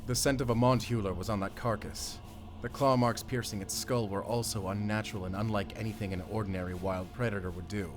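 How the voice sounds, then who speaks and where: A man performs a stern, secretive wolf hunter in a gothic audio drama. He has a low voice and a German accent, and speaks in terse, commanding phrases. Prominent music plays behind him. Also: steady tone, brisk, hardly any pauses, close to an everyday voice. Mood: grave, hushed, wary. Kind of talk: cinematic audio drama acting.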